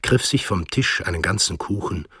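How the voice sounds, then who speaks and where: An actor playing the narrator of a radio drama, telling a thriller in a measured, literary way. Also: male voice, low voice, animated, fairly bright tone, slight background noise. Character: measured, observant male storyteller of a thriller. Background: music.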